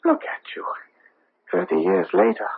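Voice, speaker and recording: A man playing an old immigrant shopkeeper, anguished and vengeful, in a halting, intense radio drama style. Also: high voice, lively intonation, creaky, husky, full character voice. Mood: stunned recognition. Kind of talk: 1970s radio drama acting. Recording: tinny, band-limited old radio broadcast.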